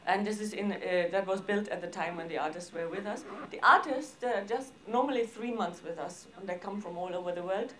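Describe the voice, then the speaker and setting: Woman giving a slide talk, informal, lots of hesitating and broken sentences, medium pitch. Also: a little fast, hardly any pauses, fairly bright tone. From Austria. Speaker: arts organisation director presenting projects. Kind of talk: seminar presentation with slides.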